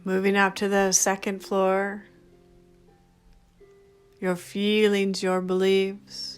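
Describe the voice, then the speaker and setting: A woman leading a guided meditation in a gentle, soothing, unhurried manner, speaking in short phrases. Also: medium pitch, clear, resonant tone. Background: background music.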